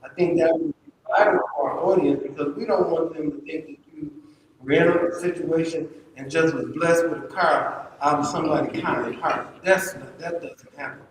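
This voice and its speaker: A man moderating a public panel, deliberate and instructive as he questions and prompts a guest. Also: on the high side, fairly even intonation. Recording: cavernous echoey hall, distant mic, faint noise.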